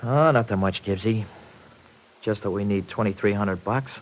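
An actor playing a cocky, big-hearted young rancher with slangy, folksy talk in an old-time radio serial. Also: male voice, on the low side, moderate pace, natural voice, low-quality recording. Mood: rueful, casual. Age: young adult.

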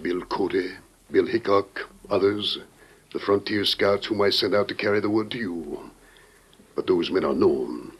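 Husky, low, grave president. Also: male voice, exaggerated voice. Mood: solemn. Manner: solemn, weighty, deliberate oratory. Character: grave, dignified American president briefing a secret agent. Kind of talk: old-time radio drama acting.

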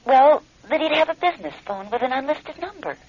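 An actress playing a polite, precise phone company official in a radio drama, speaking formally and in a measured way. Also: female voice, high voice, expressive, a little mellow, dry recording, dull audio. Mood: polite, puzzled.

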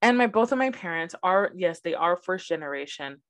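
A young woman chats casually as a podcast co-host, her first language Spanish, her voice sitting a little low. She tells stories with energy and drifts into laughter easily. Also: lively intonation, a little fast. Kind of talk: casual chat.

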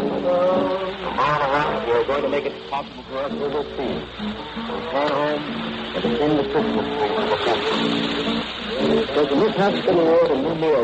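A man's voice: fairly high pitch, normal speed, long pauses, loud music behind the voice. Solemn man announcing on dull old radio. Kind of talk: solemn 1940s wartime radio narration. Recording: dull lo-fi vintage radio recording.

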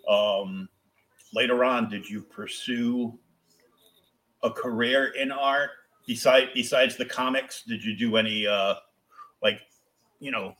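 A man hosting a podcast, asking casual questions in an informal, halting way. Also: medium pitch, fairly bright tone. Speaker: podcast host, interviewer. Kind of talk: casual interview chat.

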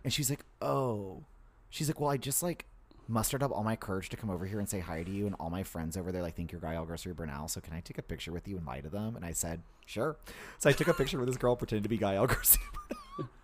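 A man's voice: low voice, fast talker, slight background noise. A man in his thirties, a podcast co-host chatting casually and acting out quotes as he tells a story. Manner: animated storyteller, acts out quotes. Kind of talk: casual chat.

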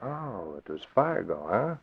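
A crooked, oily lawyer. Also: male voice, fairly low pitch, very animated, gravelly, husky, heavily acted. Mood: scheming. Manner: oily, defensive, quick to bluster. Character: crooked, smooth-talking male lawyer. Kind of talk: 1940s radio acting. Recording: tinny phone-like old radio recording.

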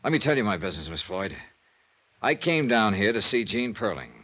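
An actor playing a tough, world-weary American insurance investigator in a 1950s radio drama, clipped, hardboiled and deadpan. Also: male voice, on the low side, brisk, full character voice. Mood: firm, probing. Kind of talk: 1950s radio detective acting and first-person narration. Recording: vintage radio recording with music.

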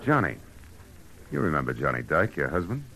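A man's voice: very deep voice, sing-song, fairly quick, vocal fry, sharp tone, a little hiss or hum, heavily acted. A man playing a hard-boiled, wisecracking private detective in a classic American radio drama, terse and sardonic, with an American accent. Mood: dry questioning. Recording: old radio recording with music behind.

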